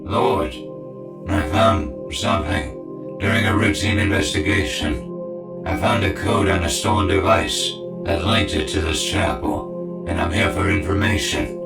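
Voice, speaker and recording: Casting: man as respectful, persistent junior tech-priest investigator, deep gravel, even monotone, echoing chamber sound. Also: a little slow. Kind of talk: audio drama acting. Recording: echoing voice under loud music.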